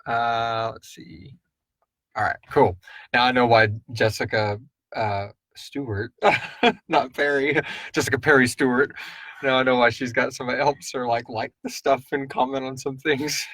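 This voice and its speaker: A man with a mid-pitched voice hosts a live question-and-answer stream. He talks informally and rambles a little, addressing viewers like friends. The recording is dry, with very little room sound. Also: steady tone, normal speed, a bit bright, dry recording. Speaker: podcast host answering listener questions. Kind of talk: casual live-stream chat.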